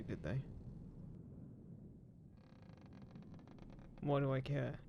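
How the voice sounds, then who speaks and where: A man playing a roguish, smug, gruffly caring undead pirate captain in a roleplay audio drama, teasing and menacing, speaking unhurriedly to the listener. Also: medium pitch, noisy background. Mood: gruff concern. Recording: constant ship creaking ambience behind voice. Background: creaking wooden ship sound effects.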